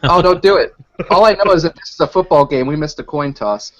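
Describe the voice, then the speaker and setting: A man's voice: fairly high pitch, fairly quick. A man co-hosting a radio show in casual, joking chat over an online call. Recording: dull lo-fi online call audio.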